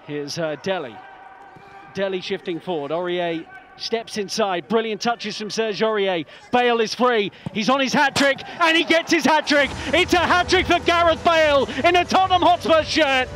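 High-pitched male football commentator, excited. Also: normal speed. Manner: excited, emphatic delivery. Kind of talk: live match commentary. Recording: broadcast over crowd roar and music. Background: stadium crowd, music.

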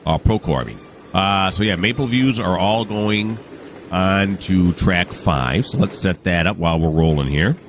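A man with a cold that has deepened his voice, thinking aloud in casual commentary while playing a train simulator. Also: measured pace, a little hiss or hum. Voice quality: deep. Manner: thinks aloud, rambling, self-correcting. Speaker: gaming channel host.

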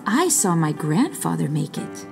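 Woman playing a clever, deliberate young woman. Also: sits low, big pitch swings, gravelly, a little mellow, soft background music, voice pushed down, heavily acted. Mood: sly. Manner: measured, deliberate, pausing for effect.

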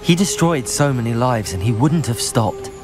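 A man's voice: medium pitch, expressive, moderate pace, over music, close to an everyday voice. An actor playing a brave, earnest, polite young male wizard student in a video game. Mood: earnest, determined. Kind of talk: cinematic video game acting.

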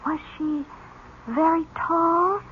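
A woman's voice: very high voice, steady tone, slow pace. An actress playing an overwrought, pleading teenage orphan girl with a psychic gift in a 1970s radio drama. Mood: hushed wonder. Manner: breathless, repetitive, melodramatic pleading. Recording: muffled, noisy vintage radio broadcast.

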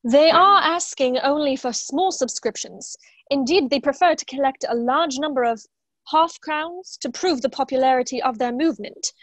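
High-voiced, prim secretary, played by a woman. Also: a bit bright, dry recording. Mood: patiently cautioning. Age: young adult. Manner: formal, measured. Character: prim, dutiful, patient secretary to a duke. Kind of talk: staged play reading over video call. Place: room at home, video call. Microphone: wired earphone microphone.

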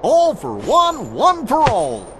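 A dopey, good-natured cartoon dog for a game. His throaty drawl leaps wildly up and down at an unhurried pace, with clear noise behind it. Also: very high voice, huge pitch swings, full character voice. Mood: cheerful.

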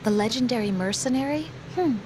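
A young female analyst voiced by an actress in a video game radio call, tender yet evasive. Her voice sits fairly high, with clear noise behind it. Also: expressive, a little fast, lightly acted. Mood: supportive.

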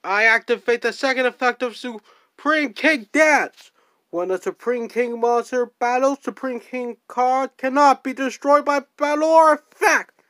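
A woman's voice: sits high, unhurried, fairly bright tone, no echo. A woman in an amateur fan dub, reading card effects plainly.

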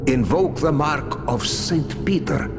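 Slow, solemn priest. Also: male voice, a little high, creaky, over music. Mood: solemn, uneasy. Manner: grave, drops articles. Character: solemn, pious middle-aged priest. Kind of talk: video game cutscene acting.

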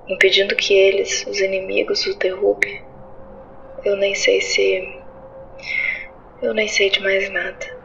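A woman playing a weary, somber soldier in an audio drama, speaking gravely and haltingly. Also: medium pitch, fairly bright tone, highs cut off, a little hiss or hum. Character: weary soldier Drax, somber, losing hope under siege. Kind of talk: audio drama narration and dialogue.

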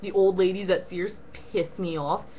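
A young woman co-hosting a casual, profane rant, animated and full of fillers like "um" and "like". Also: lowish voice, moderate pace, highs cut off, slight background noise. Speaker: co-host. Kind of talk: casual ranting chat.

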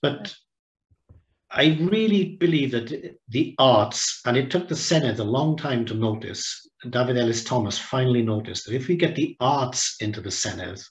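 An older man speaks over a video call from a room at home, his voice carrying a roomy echo. He talks in a reflective, halting way, pausing and restarting as he gathers his thoughts. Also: mid-range voice, lively intonation. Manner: reflective, with many pauses and restarts. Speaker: guest speaker. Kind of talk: online seminar talk.

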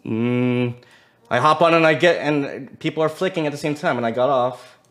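A man's voice: medium pitch, normal speed, fairly bright tone. Casual man chatting in a game. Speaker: player in an online social deduction game. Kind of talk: casual group game chat.

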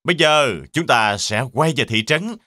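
A man's voice: on the high side, lively intonation, measured pace, sharp tone, dry recording, clean studio sound. A man voicing an encouraging zebra sports coach in a cartoon, instructive and emphatic. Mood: proud.

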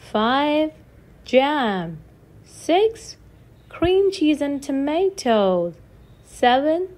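A woman reading a children's picture book aloud in a deliberate, sing-song way, counting and asking questions. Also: fairly high pitch, warm tone.

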